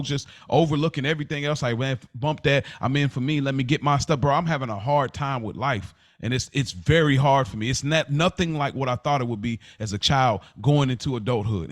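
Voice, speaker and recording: A man in his thirties talking candidly and casually on a podcast, emphatic and repetitive, with slangy, confiding delivery. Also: mid-range voice. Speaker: podcast host.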